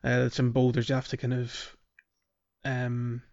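A man hosting a podcast, talking casually and haltingly through a video game walkthrough, thinking aloud as he goes. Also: medium pitch, completely flat delivery, gravelly, darkish tone, highs cut off. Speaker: podcast host.

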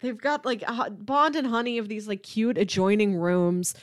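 A woman co-hosting a podcast, chatting casually about film in an animated way and playfully acting out lines. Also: mid-range voice, moderate pace, no echo, clean studio sound. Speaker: podcast co-host.

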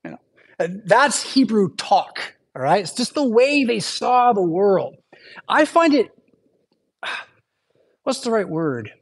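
A man's voice: high-pitched, big pitch swings, slow pace, long pauses, husky. A male pastor delivers a sermon in a church. His tone is conversational and unpolished, with asides and restarts. He keeps checking in with the congregation as he explains. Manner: conversational, frequent asides and "right?" tags.

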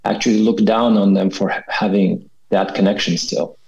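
A thoughtful man in his forties from Kazakhstan tells his story as an interview guest. His voice sits low and sounds mellow. The recording is dull and lo-fi. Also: flat delivery, moderate pace, gravelly. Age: 40s. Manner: thoughtful, often ends with "right?". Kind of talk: reflective personal account.

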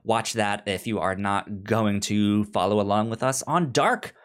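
A man co-hosting a podcast in casual chat, animated, with halting, repetitive phrasing. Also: on the low side, smooth. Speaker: podcast co-host.

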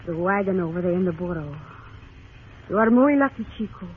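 A woman's voice: lowish voice, animated, breathy edge. Mysterious girl. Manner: dramatic, poetic, deliberate. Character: mysterious, fatalistic Mexican young woman in Western. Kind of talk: 1950s radio drama acting. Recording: tinny, faintly noisy old radio recording.

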